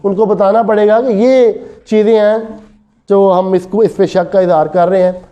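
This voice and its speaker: A man's voice: high voice, fairly even intonation, moderate pace, mellow. A man with a Pakistani accent giving an explanatory classroom law lecture, switching between languages mid-sentence. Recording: dull lo-fi audio.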